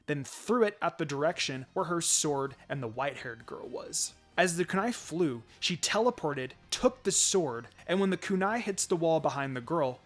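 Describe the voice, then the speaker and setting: Man for dramatic fan fiction narration, fairly high voice, music under the read. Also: fairly bright tone.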